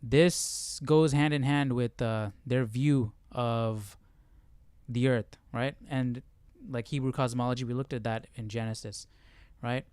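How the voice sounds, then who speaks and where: A man's voice: medium pitch, steady tone, unhurried, a little mellow, clear, resonant tone, dry recording. A man hosting a livestream, talking informally through a study session and often checking in with "right?". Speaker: livestream host. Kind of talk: casual Bible study livestream commentary.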